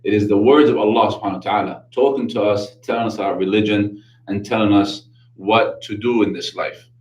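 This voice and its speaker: A man's voice: lowish voice, fairly even intonation, brisk, darkish tone, reverberant, dull audio. A man with a New York accent, raised in Brooklyn, hosting a casual religious talk show and explaining his faith earnestly with many Arabic religious phrases. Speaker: radio show host.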